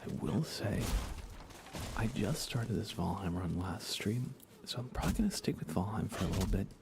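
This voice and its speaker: A soft-spoken man chatting casually on an ASMR gaming livestream, relaxed and often slipping into a whisper. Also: sits low, normal speed, gravelly, background noise. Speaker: livestreamer and host.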